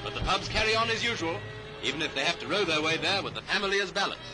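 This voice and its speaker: A British man narrates a 1930s newsreel in a fairly high voice. His scripted commentary is clipped and formal to the period. It comes from a vintage soundtrack, with music clearly playing behind him. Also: animated, quick pace.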